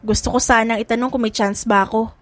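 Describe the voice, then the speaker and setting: A woman voicing a gentle, lovelorn young man in an audiobook drama, earnest and accepting. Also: fairly high pitch, steady tone, fast, dry recording, close to an everyday voice. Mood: earnest, wistful.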